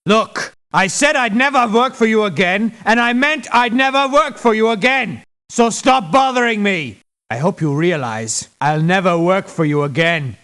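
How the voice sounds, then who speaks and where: An actor voicing an exasperated, dismissive, sarcastic mercenary in a video game. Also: male voice, high-pitched, animated, normal speed, pushed and bright, clear, resonant tone. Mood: fed up. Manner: sarcastic, scornful. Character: exasperated, dismissive, sharp-tongued male mercenary. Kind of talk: video game character voice lines.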